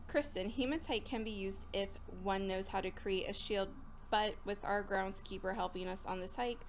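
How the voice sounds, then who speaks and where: Audio drama teacher female actor: mid-pitched, instructive, brisk and opinionated, faint ambient noise behind. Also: lightly acted. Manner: instructive, matter-of-fact.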